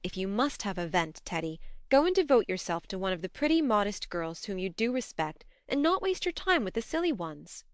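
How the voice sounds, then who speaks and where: A woman acts out a young elder sister from a classic storybook. She is brisk, blunt and affectionate, with a clear, smooth voice in a dry recording. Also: medium pitch, sing-song, no echo, lightly acted. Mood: admonishing.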